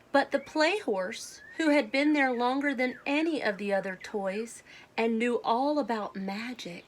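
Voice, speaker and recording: Female children's storybook reader, higher-pitched voice, deliberate and simple delivery, some background noise. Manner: deliberate, simple phrasing addressed to young children. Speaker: children's read-aloud host. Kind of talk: storybook read-aloud to children.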